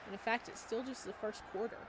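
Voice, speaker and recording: A woman's voice: a little high, fairly even intonation, fast talker. Woman reading a visual novel, faint background noise. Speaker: visual novel let's-player. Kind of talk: expressive read-aloud of game dialogue with casual asides.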